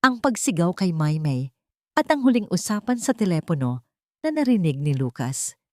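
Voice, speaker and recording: A Filipino woman narrates a scripted drama in a fairly low voice. The recording is dry, with hardly any room sound around her. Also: big pitch swings, moderate pace, no echo. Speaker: story narrator.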